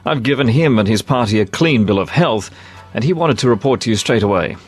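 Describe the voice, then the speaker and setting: A man acts a Victorian ship's doctor in an audio drama. His voice is mid-pitched. He is polite, apologetic and businesslike with superiors. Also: expressive, fairly quick, breathy edge, faint music behind. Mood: deferential, brisk. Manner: deferential, apologetic. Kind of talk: period audio drama acting.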